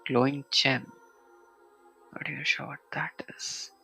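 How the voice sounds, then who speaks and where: Man, casual game streamer, creaky voice, slow halting delivery, muttering to himself, faint background music. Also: mid-range voice, level tone, bright, forceful tone, low-quality recording. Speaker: video game live streamer. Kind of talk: casual solo game stream commentary, reading item text aloud.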